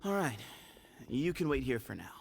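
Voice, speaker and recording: Young male game guide, easygoing, teasing, mysterious, lively swings in pitch, gravelly edge. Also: a little hiss or hum. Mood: calm, evasive. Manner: easygoing, playful, unhurried.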